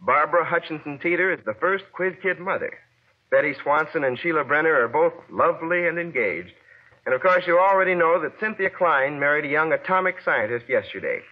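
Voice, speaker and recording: A man's voice: on the high side, lively intonation, a little fast. Young man on dull old-time radio. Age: young adult. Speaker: guest correspondent, former child quiz contestant. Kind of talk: scripted 1940s radio news roundup. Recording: dull lo-fi vintage 1940s radio broadcast.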